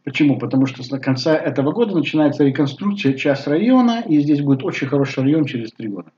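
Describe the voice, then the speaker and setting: A man in his sixties with a Bulgarian accent, talking casually to camera at home, halting with many fillers. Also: a little high, animated, mellow, a little hiss or hum. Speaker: vlogger. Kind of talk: casual personal talk to camera. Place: living room at home. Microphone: webcam at desk. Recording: slightly dull webcam audio.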